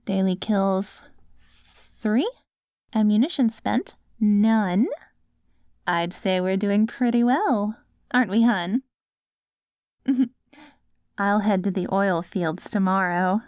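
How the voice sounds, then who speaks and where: A woman's voice: medium pitch, a little mellow, no room sound, clean studio sound, lightly acted. A woman playing a doting, patient wife in an ASMR roleplay audio drama, coaxing sweetly like a caregiver. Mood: fond, chatty. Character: doting wife caring for her ghoul husband after apocalypse.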